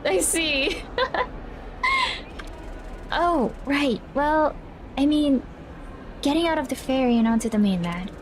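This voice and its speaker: A woman from the Philippines, slightly raspy, chatty and giggly as she reads a visual novel aloud on stream. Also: sits high, expressive, takes it slowly, long pauses, slight background noise. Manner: chatty, giggly, reacting to the game. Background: game music.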